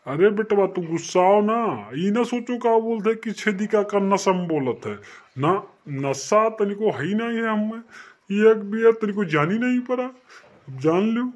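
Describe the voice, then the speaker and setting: A man's voice: sits high, animated, measured pace, darkish tone. A man voicing Chhedi, a boastful tipsy Awadhi uncle. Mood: defensive. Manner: rambling, insistent, boastful. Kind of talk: comic cartoon dialogue.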